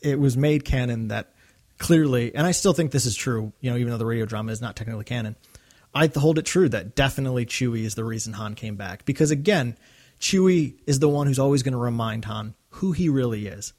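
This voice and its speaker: A man's voice: medium pitch, quick pace, darkish tone. A man co-hosting a podcast, chatting casually in an earnest, reflective way and now and then voicing quotes. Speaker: podcast co-host. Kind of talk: casual discussion of a radio drama.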